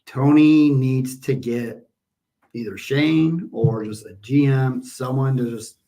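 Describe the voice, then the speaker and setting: A man's voice: medium pitch, takes it slowly, dark tone. A man in his forties co-hosting a casual wrestling podcast, blunt and opinionated. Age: 40s. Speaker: podcast co-host.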